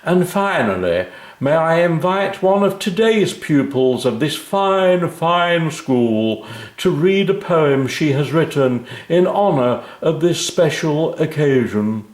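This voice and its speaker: A man's voice: a little high, animated, slow pace, roomy sound, pitched above the performer's own voice. A man voicing a pompous, formal male school dignitary in a children's story, with declamatory delivery.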